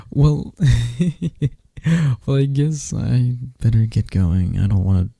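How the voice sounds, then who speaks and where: A man doing a shy, tender best friend who talks softly and stumbles over his words, his low voice dark and gravelly. He takes his time, unhurried and hushed, close and dry on the mic. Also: dry recording, full character voice. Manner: soft-spoken, stammering, gentle. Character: shy affectionate young adult male best friend. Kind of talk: ASMR roleplay.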